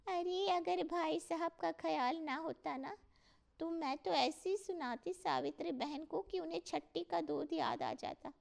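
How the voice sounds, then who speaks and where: Woman as creaky, high-voiced gossipy housewife. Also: measured pace, heavily acted. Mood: gossipy grumbling. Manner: confiding, grumbling. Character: gossipy, restrained neighbourhood housewife.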